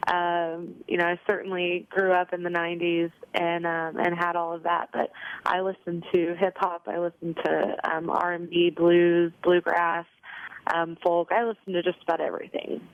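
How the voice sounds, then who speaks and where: A woman's voice: fairly low pitch, monotone, normal speed, no echo, lo-fi sound. A young woman singer answering interview questions in a chatty way, with lots of ums and you knows. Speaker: country singer, interview guest.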